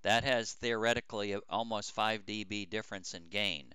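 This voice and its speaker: An older man chatting unscripted as an amateur radio operator, explaining things in a halting, repetitive way. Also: on the low side, bright, forceful tone, clean tone, dry recording. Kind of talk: unscripted ham radio chat.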